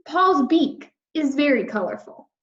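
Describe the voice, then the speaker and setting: A woman's voice: high voice, big pitch swings, measured pace, voice pushed up. A woman voicing a small, earnest, worried young male puffin in a children's storybook. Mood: earnest, disappointed. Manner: plaintive, earnest. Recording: roomy echo, slightly dull sound.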